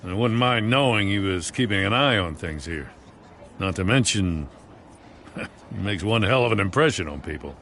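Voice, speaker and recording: Earnest police chief, expressive delivery. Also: male voice, fairly low pitch, normal speed. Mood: friendly, frank. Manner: earnest, plainspoken. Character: middle-aged police commissioner, plainspoken, hopeful, weary. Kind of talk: video game voice acting.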